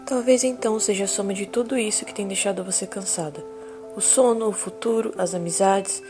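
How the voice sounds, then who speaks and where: A woman acting a calm therapist in an audio drama, asking gentle probing questions over music. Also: medium pitch, brisk, lightly acted.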